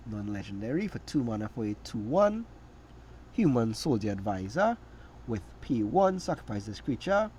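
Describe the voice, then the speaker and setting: A man with a cold, hoarse and raspy, casually reading out and reacting to trading card text. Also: medium pitch, a little mellow, a little hiss or hum. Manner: reads card text aloud, reacts with quick asides. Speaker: card game reviewer. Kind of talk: casual card review commentary.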